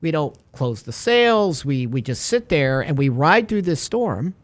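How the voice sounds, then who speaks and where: A man's voice: a little high, measured pace. A man hosting a radio talk show, chatting casually and acting out little stories as he goes. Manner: animated storyteller, acts out little scenes.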